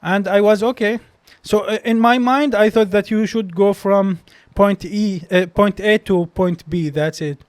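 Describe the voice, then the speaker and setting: A man's voice: high voice, fairly even intonation, a little slow, few pauses, dry recording. A young man with a Palestinian accent whose first language is Arabic, a doctor telling stories as a podcast guest, hesitant with many restarts. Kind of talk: casual interview storytelling.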